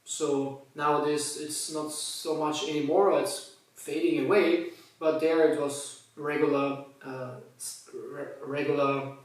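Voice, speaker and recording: A man's voice: fairly high pitch, fairly even intonation, slow. A man from Austria with an Austrian German accent, a native German speaker, telling his personal story as a monk in a hesitant way, searching for words and restarting sentences. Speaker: Hare Krishna monk. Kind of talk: personal testimony to camera. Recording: echoey room, voice from a distance.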